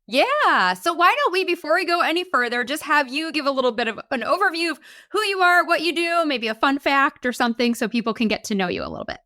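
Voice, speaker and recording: A woman's voice: high-pitched, big pitch swings, fast talker, hardly any pauses, bright, forceful tone, dry recording, studio quality. A woman hosting a podcast interview, upbeat and enthusiastic in casual chat. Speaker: podcast host.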